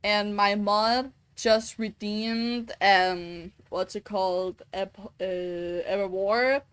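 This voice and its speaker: Slow-talking Danish woman streamer. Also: mid-range voice, steady tone, fairly bright tone, clean tone, dry recording. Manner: thinking aloud, searching for words, many fillers. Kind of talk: casual gameplay chat.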